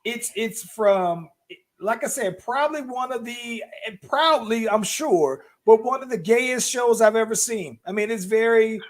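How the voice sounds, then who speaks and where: A man with a high, light voice chats loosely as a podcast co-host. He circles back on himself, restarting and repeating words before he lands a point. His delivery stays relaxed and conversational. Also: unhurried. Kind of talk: casual chat.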